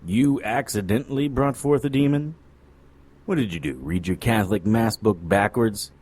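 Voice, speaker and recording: An actor playing a cynical, world-weary private detective in a noir radio drama, wry and sardonic. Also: male voice, lowish voice, normal speed, a little mellow, natural voice. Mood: sardonic, impatient. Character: cynical male hard-boiled occult private detective.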